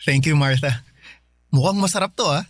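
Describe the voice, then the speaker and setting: A man's voice: a little high, moderate pace, lightly acted. A Filipino man playing a sincere, flirtatious younger suitor in a radio drama, earnest and conversational. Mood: earnest flirting.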